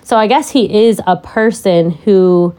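A middle-aged woman co-hosting a true crime podcast, with a loud, fairly deep voice and a chatty manner full of laughing asides. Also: unhurried. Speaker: podcast co-host. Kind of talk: casual true crime chat.

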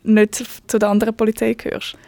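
A woman's voice: mid-range voice, monotone, quick pace, vocal fry, mellow, no room sound. A woman with a Swiss German accent, hosting a podcast and asking short questions. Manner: brief questions and backchannels. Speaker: podcast host. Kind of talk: interviewing.

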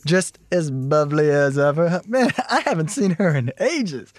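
Breezy, animated yoga-teacher bro. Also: male voice, fairly high pitch, unhurried, no room sound. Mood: nostalgic, upbeat. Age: late 20s. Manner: effusive, slangy, breezy. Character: laid-back, chummy, new-age yoga teacher in his late twenties. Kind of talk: radio drama acting, casual slangy dialogue.

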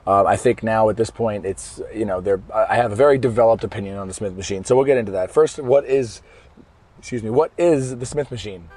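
A hoarse man hosting a fitness podcast from his car in traffic, explaining things casually and often correcting himself mid-sentence. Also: lowish voice, a little fast, darkish tone. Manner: conversational, explaining step by step with frequent self-corrections. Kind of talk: casual solo podcast monologue. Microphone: podcast microphone.

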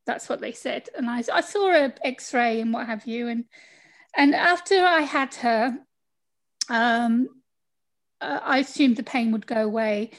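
British woman, high voice, chatty storyteller, interview guest, video call. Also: measured pace. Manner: chatty, laughs often, acts out quoted people. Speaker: podcast guest.

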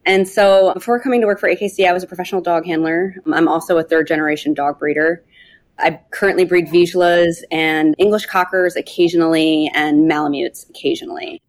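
A woman with a slightly low voice answers as a podcast guest. Her delivery is casual and conversational. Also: fairly even intonation, fairly quick. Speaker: podcast guest, project analyst.

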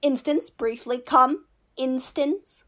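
Woman doing a witty court jester guy, kinda high voice, slow n pompous, teasing, shakespeare radio play. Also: a bit bright. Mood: mock-solemn, teasing. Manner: pompous, mock-logical argument.

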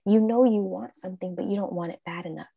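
A woman's voice: medium pitch, fast talker, vocal fry, no room sound, narrow, tinny audio. A woman life coach, a guest in an interview, talking casually and acting out her own thoughts in quotes. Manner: animated, acts out her own quoted thoughts, frequent laughs.

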